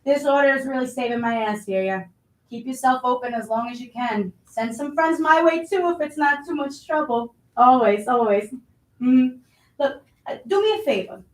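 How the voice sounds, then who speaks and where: A woman's voice: high voice, natural voice. A woman playing a blustery, money-minded restaurant boss in a staged reading of a play. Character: pushy, money-minded restaurant boss, self-pitying and scheming. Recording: roomy, distant microphone.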